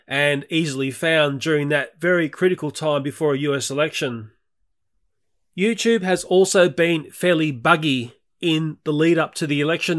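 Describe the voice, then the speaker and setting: Chatty Australian man narrating. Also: fairly high pitch. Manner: chatty, candid. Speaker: nature video creator. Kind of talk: voice-over narration.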